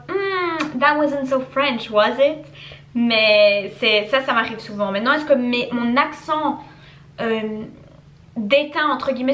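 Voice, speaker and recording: A woman's voice: a little high, a bit bright. A young woman from Belgium chatting casually to camera as a YouTuber, answering viewer questions and repeating words for emphasis. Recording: echoey room.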